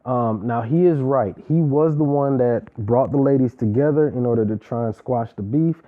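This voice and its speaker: A man's voice: mid-range voice, moderate pace. A man giving a casual, opinionated recap of a reality show, talking in an emphatic, slangy way with preachy asides. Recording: tinny phone-like sound.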